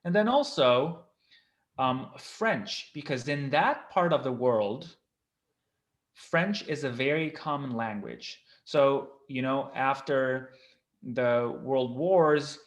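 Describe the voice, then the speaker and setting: A man's voice: mid-range voice, expressive, takes it slowly. A man whose first language is Armenian, a guest professor, recounting his career in a casual interview, earnest and frank with frequent ums. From Lebanon.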